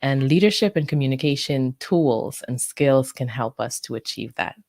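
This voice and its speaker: Low-voiced articulate woman from the Bahamas. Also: moderate pace, vocal fry, darkish tone, dry recording, studio quality. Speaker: guest, communications coach and speaker. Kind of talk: interview answers, professional self-introduction.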